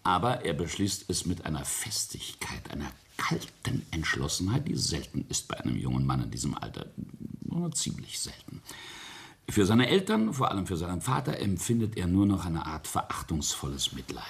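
Middle-aged tycoon father, radio play, very husky, dramatic swinging intonation, cold and menacing. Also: sing-song, heavily acted. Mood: cold, intense. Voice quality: husky, low. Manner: deliberate, pointed, pausing storyteller. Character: self-made industrialist father, cold, bitter, deliberate, menacing. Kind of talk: German radio drama acting.

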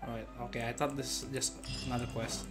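A man's voice: medium pitch, little pitch movement, fast talker. A man giving casual live gameplay commentary, playfully muttering and breaking into sudden exclamations. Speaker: game streamer. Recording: game music faintly behind.

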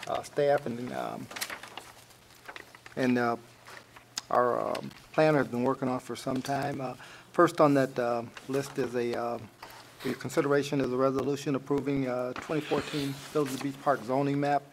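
An American man giving a halting committee report, often groping for words. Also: medium pitch, level tone, slight background noise. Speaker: village trustee, committee chair. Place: indoor village board meeting room.

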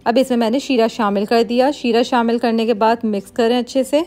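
A woman hosting a cooking channel, calmly explaining a recipe step by step in a voice-over and mixing English words into her talk. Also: a little high, fairly quick, soft background music. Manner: calm, instructive, mixes English words into Hindi.

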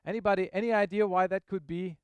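Male tech presenter, high clear voice, informal and explanatory, dry close sound. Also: steady tone, quick pace, darkish tone, no room sound, dull audio. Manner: informal, explanatory, checks in with questions. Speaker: software presenter. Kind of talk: live technical demo to an audience. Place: meetup presentation room, on stage.

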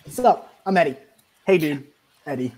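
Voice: medium pitch, expressive, moderate pace, a little mellow, husky. A young actor playing a sullen, laid-back schoolboy who loves hip-hop, in a sitcom table read over a video call. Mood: casual. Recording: noisy home video call with clicks.

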